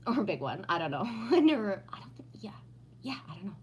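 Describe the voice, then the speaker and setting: A woman's voice: mid-range voice, very animated, quick pace, a little mellow, lo-fi sound. A woman in her fifties from Texas, hosting a casual livestream, teasing playfully, laughing often and reading out chat comments. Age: 50s.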